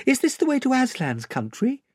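Storybook young girl voiced by a male narrator: earnest, polite, pleading, raised breathy pitch, swooping intonation. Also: huge pitch swings, moderate pace, no room sound, clean studio sound, heavily acted. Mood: polite, awed.

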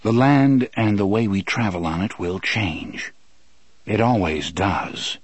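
A man voicing a stoic gunslinger in an audiobook, speaking gravely with patient, measured calm. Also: lowish voice, no echo. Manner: calm, measured, solemn, formal.

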